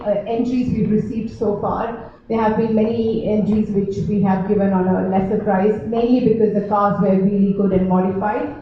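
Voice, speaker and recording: A woman organizing an event, explaining details at length while answering questions at a press conference. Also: mid-range voice. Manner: explanatory, speaks at length with fillers. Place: large echoey event hall. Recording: echoey hall from far off, dull, noisy.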